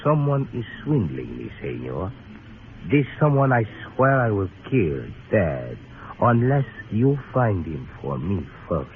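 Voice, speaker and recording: Deep-voiced, menacing Spanish casino boss. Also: male voice, very animated, slow pace. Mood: menacing, aggrieved. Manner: ornately polite, threatening. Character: proud, courteous but menacing Spanish casino owner. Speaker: actor in a radio western. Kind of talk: old radio drama acting. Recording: tinny, noisy old radio recording.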